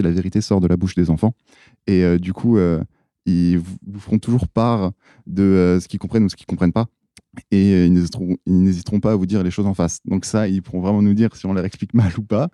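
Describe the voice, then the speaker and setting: A young man in his twenties with a low, very dark voice. He answers as a student, hesitant and relaxed, with many pauses. The recording is close and dry. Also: no echo. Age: 20s. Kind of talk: interview answers.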